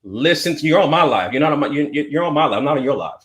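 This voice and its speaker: A man's voice: on the high side, expressive, quick pace, fairly bright tone, echoey room. A man in his forties hosting a livestream, arguing insistently and repeating himself over and over. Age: 40s. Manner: repetitive, insistent, talks over the caller. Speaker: live stream host. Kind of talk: heated argument on a livestream.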